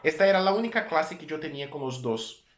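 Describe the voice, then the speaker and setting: A man's voice: a little high, fast, echoey room. A Brazilian man in his thirties, a native Portuguese speaker with a Brazilian accent, casually telling a story to camera as a travel vlogger and often correcting himself mid-sentence. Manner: relaxed, repeats and corrects words mid-sentence.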